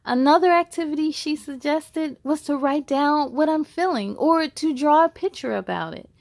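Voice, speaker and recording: A woman with a high voice hosts a storybook read-aloud for children. She speaks encouragingly, addressing her young listeners directly. The sound is dry, with very little room echo. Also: normal speed, gravelly. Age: adult.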